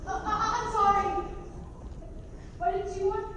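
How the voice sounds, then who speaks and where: A woman's voice: very high voice, fairly even intonation, measured pace, noisy background. A young woman playing a shy, earnest, hesitant teenage schoolgirl in a stage play, recorded from far off in a theatre. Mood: nervous. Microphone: far camera. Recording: echoey theatre from far off, loud music.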